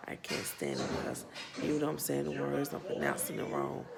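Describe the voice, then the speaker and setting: A woman in her thirties with a Southern US accent, speaking earnestly and haltingly as a parent who runs a nonprofit, her voice a bit worn. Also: rumbling low, big pitch swings, gravelly, over a noisy crowd. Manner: slow, earnest, halting with pauses and restarts. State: losing her voice. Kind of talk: personal vlog testimony.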